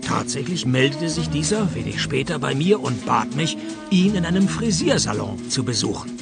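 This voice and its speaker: An actor playing a wry, sardonic private detective in a radio play, with a dry, deadpan, mocking delivery. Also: male voice, mid-range voice, normal speed, gravelly, over loud music. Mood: wry narrating. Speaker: lead actor and narrator. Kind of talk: radio detective play, scripted narration and dialogue.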